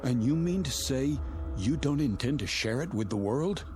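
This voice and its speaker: Radio drama, male: sardonic captive outsider played by an actor, mid-pitched, animated swings, challenging, incredulous, scored with music. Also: normal speed, natural voice. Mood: skeptical, challenging. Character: indignant male outsider, sardonic would-be novelist held captive.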